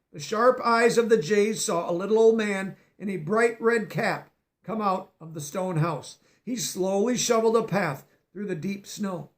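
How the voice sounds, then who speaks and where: A man reading a picture book aloud with expression, stopping now and then to explain words for young listeners. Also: sits high. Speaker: storybook reader.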